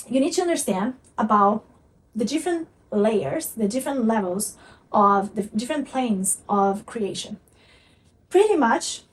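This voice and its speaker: Brazilian woman coaching, slightly distant mic. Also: a little high. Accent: Brazilian Portuguese. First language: Portuguese. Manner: earnest, repetitive, emphatic. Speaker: manifestation coach. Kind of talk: teaching monologue to camera.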